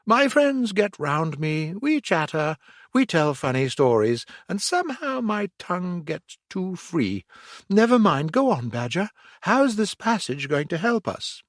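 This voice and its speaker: A man reading aloud and voicing a boastful, excitable male toad in a storybook. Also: high-pitched, a little slow, no room sound, clean studio sound, pitched above the performer's own voice. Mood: excited.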